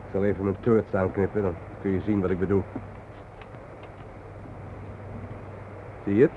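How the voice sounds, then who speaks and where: An actor playing a solemn, reflective male guide to war memorials in a radio play, explaining things gravely. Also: sits low, flat delivery, very fast, narrow, tinny audio, lightly acted. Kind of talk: naturalistic radio play acting. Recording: thin, noisy old radio recording.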